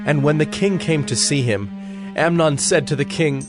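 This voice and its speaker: A man's voice: on the high side, normal speed. A man giving a dramatic scripted narration of scripture as the narrator. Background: music.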